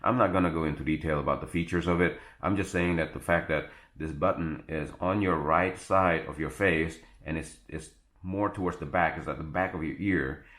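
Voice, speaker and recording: A man with a low-pitched voice talks through a product review in a casual, off-the-cuff style. He explains step by step, often halting and correcting himself. The sound is distinctly roomy and echoey. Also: a bit bright. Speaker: tech gadget reviewer.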